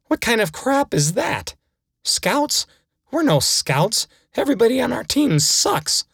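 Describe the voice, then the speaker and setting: A man voicing an outraged boy ballplayer, shouting high exclamations in a comic story. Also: big pitch swings, measured pace, gravelly, husky, no room sound, studio quality, heavily acted.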